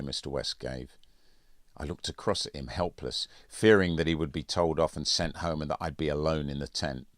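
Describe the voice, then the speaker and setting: Very low-voiced man reading his story. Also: fairly bright tone, no echo, clean studio sound. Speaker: author reading own audiobook. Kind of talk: scripted first-person literary narration.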